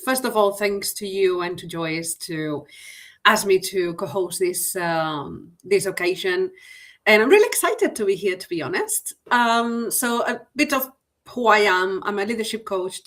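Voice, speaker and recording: A woman from Spain with a Spanish accent, speaking as guest co-host in a relaxed, reflective way. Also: medium pitch, unhurried. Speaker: guest co-host, leadership coach. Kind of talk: casual conversation.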